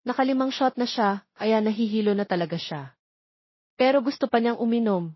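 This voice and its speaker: Husky-edged woman narrating a romance story. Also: a little high, normal speed, no room sound, dull audio. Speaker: audiobook narrator.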